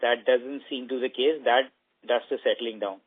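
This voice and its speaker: A man's voice: mid-range voice, steady tone, normal speed, husky. A man, a senior company executive, explaining his view on an earnings call and often checking with short tag questions. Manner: explanatory, punctuated with "right" tags. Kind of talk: spontaneous answers on an earnings call. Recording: tinny phone-line conference audio.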